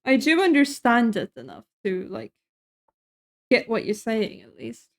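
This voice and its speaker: High-voiced woman streaming while cooking. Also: sing-song, a little slow, creaky. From Sweden. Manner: chatty, laughs often, answers chat messages. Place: her home kitchen.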